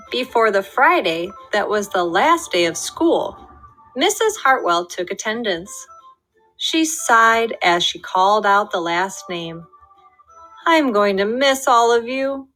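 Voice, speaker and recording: Bright woman reading a storybook. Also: fairly high pitch, slow, soft background music, rough recording. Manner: lively, expressive storytelling with voiced character lines. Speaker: storybook reader. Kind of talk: children's read-aloud narration.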